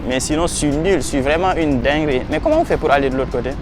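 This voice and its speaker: A man's voice: a little high, fast, over music. A young man chatting casually in a vlog, slangy and rambling, talking to his viewers as buddies while filming himself outdoors on a handheld camera. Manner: slangy, rambling, talks to viewers as "les gars". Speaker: vlogger advising students. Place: outdoors by a waterfront landmark at sunset.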